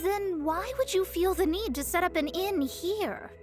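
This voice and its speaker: A proud young astrologer. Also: female voice, very high voice, music in the background. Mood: poised. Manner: measured, slightly haughty. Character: proud young female astrologer, composed and formal. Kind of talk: video game dialogue.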